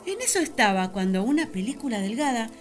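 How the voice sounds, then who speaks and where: A young, self-assured first woman of Eden. She narrates expressively in a fairly high voice, pausing for drama, full of wonder. Light music plays underneath in radio-theatre style. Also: very animated.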